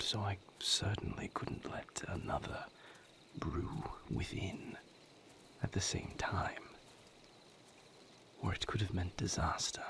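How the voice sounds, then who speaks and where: A man playing a brooding, tender vampire lover with a painful past, speaking hesitantly and formally in a breathy, intimate audio roleplay monologue. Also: fairly bright tone, full character voice. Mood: weary, solemn. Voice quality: breathy, whispered, low-pitched. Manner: hesitant, formal wording, measured, pausing.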